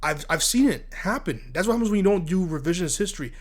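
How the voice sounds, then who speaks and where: A man hosting casual solo sports talk, congested from asthma and allergies, blunt and animated, stumbling and repeating words as he gets worked up. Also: sits high, quick pace. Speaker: sports commentary host.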